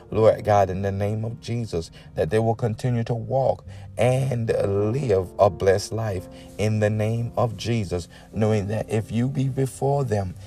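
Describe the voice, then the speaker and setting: A man's voice: fairly low pitch, warm tone. A man from the Bahamas, praying and exhorting earnestly as a preacher, often punctuating his words with amens. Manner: earnest, punctuated with "amen" and repeated invocations. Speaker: preacher leading a morning prayer. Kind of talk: devotional talk and extemporaneous prayer.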